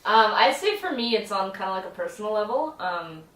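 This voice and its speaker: A woman's voice: mid-range voice, expressive, a little fast, a bit bright. A young woman, chatting easily as a vlog co-host and laughing between lines. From Canada. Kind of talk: casual chat. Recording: echoey room, mic at a distance.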